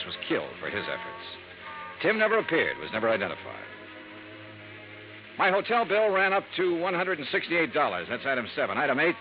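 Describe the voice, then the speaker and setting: An actor playing a wisecracking freelance insurance investigator in a 1950s radio drama, narrating in a dry, wry first person. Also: male voice, mid-range voice, lightly acted. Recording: dull 1950s radio broadcast.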